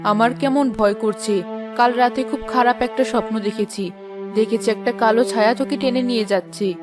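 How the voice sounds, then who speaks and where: Anxious village mother acted by a woman, fairly high voice, loving, pleading, cartoon, music behind. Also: steady tone, brisk, close to an everyday voice. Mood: worried. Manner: worried, pleading.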